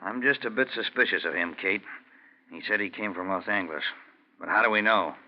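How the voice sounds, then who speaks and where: Man playing a low-voiced, suspicious backcountry prospector. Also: a little fast, lightly acted. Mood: wary, scheming. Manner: blunt, folksy, probing. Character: suspicious, shrewd, hard-nosed rural prospector husband. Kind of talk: 1940s radio drama acting. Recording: muffled 1940s radio broadcast.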